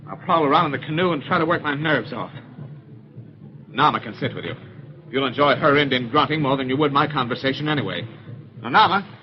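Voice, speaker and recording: A man's voice: mid-range voice, expressive, a little fast, long pauses, close to an everyday voice. A man playing a bitter, bored husband, curt, irritable and contemptuous, in old radio drama style. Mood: curt, dismissive. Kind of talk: 1930s radio drama acting. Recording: muffled old radio recording, heavy noise.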